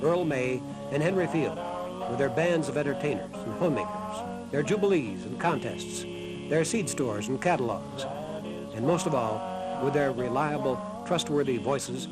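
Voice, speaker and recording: Guy narrating a history documentary, earnest and measured, clear music playing behind him. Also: medium pitch, low-quality recording. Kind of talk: scripted narration.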